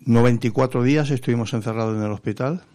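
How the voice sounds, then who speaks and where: A man from Spain, an activist guest on a radio interview, giving an earnest explanatory account with halting pauses. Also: medium pitch, mellow, no room sound. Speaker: interview guest, patients' association vice president and activist. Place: radio studio.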